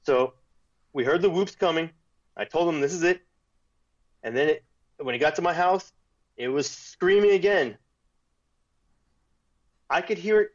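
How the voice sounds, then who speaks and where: A man guest telling a personal story in casual talk, animated when quoting others, with restarts. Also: a little high, measured pace, pauses often, dull audio. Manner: animated retelling with quoted voices, restarts.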